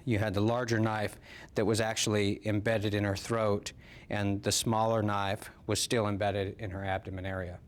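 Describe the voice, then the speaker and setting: A man's voice: on the low side, level tone, normal speed. A man with an American accent, a police lieutenant explaining a case in an interview.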